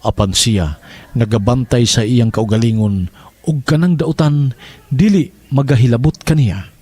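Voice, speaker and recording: A man narrating in a solemn, measured, formal way, as in a scripture reading before a story. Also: medium pitch, darkish tone, no echo.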